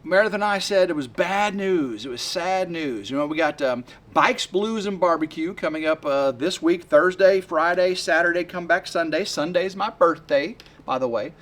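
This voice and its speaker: A man in his fifties rambling excitedly to camera outdoors by his work shed, full of fillers and restarts. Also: fairly high pitch, moderate pace, hardly any pauses. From West Tennessee. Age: 50s. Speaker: homesteading vlogger. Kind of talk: rambling casual vlog to camera.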